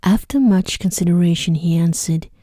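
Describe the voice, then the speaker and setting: A woman reads a bedtime story as soft ASMR narration. Her voice is lowish and extremely mellow, hushed and gentle with touches of whisper. The sound is close and dry. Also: moderate pace, no echo.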